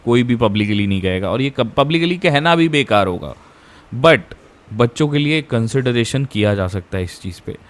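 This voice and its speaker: An Indian man hosting an informal explainer for students, conversational, mixing Hindi with English and repeating phrases for emphasis. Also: mid-range voice. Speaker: education YouTuber, host.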